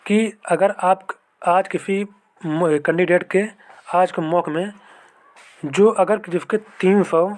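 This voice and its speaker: A man's voice: high voice, darkish tone. A young man explains informally to viewers, repeating himself and often checking in with an okay. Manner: repetitive, addresses viewers as brothers, frequent "okay" check-ins. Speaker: exam-prep video host, fellow candidate. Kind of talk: informal explainer of mock test scores and cut-offs.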